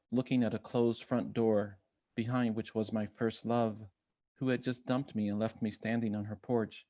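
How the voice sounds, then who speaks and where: An American man reading a personal essay aloud in measured literary narration, voicing bits of quoted dialogue as he goes. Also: on the low side, warm tone, dry recording. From Chicago, United States. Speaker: guest essayist reading own work.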